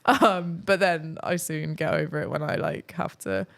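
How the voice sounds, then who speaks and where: A woman's voice: fairly low pitch, very animated, normal speed, vocal fry. A young woman from England chatting casually as a podcast guest, rambling with lots of fillers and easy laughter. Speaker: travel vlogger guest.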